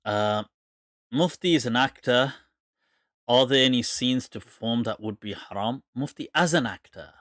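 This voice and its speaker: A man's voice: medium pitch, moderate pace, dry recording. Man, forties, casual talk, laughs a lot, dry room. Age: 40s. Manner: chatty, laughs often, relaxed asides. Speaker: host answering viewer questions. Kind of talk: casual live Q&A.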